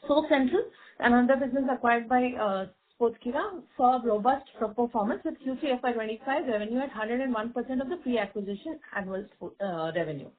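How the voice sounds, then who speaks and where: A woman's voice: a little high, brisk. A woman with an Indian accent formally reading out a scripted financial report as a company executive, stumbling now and then over the figures. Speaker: company executive presenting results. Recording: tinny, band-limited conference phone line.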